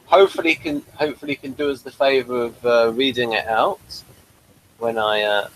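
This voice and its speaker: A man's voice: medium pitch, steady tone, moderate pace, fairly bright tone, a little hiss or hum, low-quality recording. A man hosting a casual review chat, hesitant and stopping often to restart. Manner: hesitant, many restarts and fillers. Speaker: podcast host.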